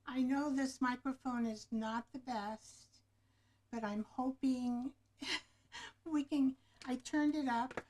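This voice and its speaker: A woman with a soft, low voice hosting a relaxed craft-along show, chatting to herself as she works, picked up by a camera microphone right in front of her. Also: slow pace. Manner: soft, low-voiced, thinking aloud while working.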